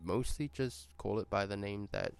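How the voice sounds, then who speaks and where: A man's voice: on the low side, normal speed, clean tone. A young man from New Zealand with a New Zealand accent, chatting casually and rambling through a vlog with self-corrections.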